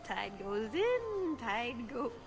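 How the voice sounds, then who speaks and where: A chirpy young woman schemer in a video game. Her high voice leaps around expressively, eager and playful, over light background music. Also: big pitch swings, extremely slow, full character voice. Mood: animated.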